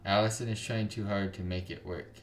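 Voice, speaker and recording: A man's voice: lowish voice, level tone, a little fast, echoey room, a little hiss or hum. A man hosting a gaming channel, chatting casually and rambling through a visual novel playthrough. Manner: casual, rambling, filler-heavy. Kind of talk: let's play commentary.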